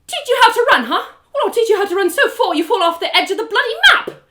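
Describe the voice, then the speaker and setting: A woman voicing a fierce, guarded, hot-tempered warrior woman in an audio drama, with curt, gruff delivery. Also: very high-pitched, lively intonation, fast talker, heavy background noise, voice pushed up. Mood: furious. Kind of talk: one-woman audio drama character acting.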